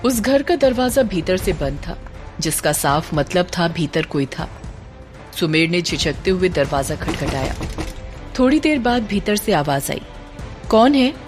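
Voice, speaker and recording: A woman narrating a scripted horror story as an expressive audio series storyteller. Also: lowish voice, unhurried, over music.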